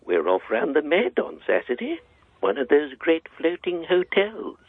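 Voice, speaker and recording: An actor playing an elderly man in his eighties, a genial, slightly deaf family friend with a husky, gravelly voice. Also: fairly high pitch, huge pitch swings, a little slow, dry recording, narrow, tinny audio, full character voice. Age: 80s.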